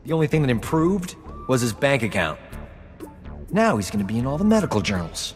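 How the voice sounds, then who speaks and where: An actor playing a cocky, flirtatious, boastful rogue doctor in a sci-fi video game, glib and smooth-talking. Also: male voice, mid-range voice, very animated, a little fast, long reverb tail, faint music behind, natural voice. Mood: indignant, envious. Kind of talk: video game dialogue acting.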